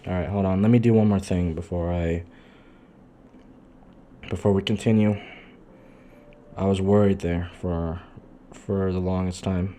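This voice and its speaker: A man live streaming, chatting casually off the cuff in a halting, muttering, sweary way. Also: sits low, steady tone, dark tone, slight background noise.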